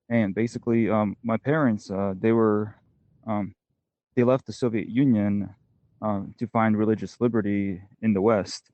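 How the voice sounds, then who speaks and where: Flat, low-voiced young man on a dull line. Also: dry recording. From United States. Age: young adult. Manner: rambling, full of fillers. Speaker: guest, congressional candidate. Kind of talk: casual interview answers.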